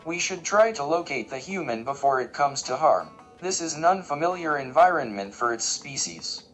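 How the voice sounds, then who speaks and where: A man playing a literal, level-headed nonhuman aide, formal and deadpan, in a sci-fi comedy audio drama. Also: on the high side, normal speed, faint music behind. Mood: matter-of-fact.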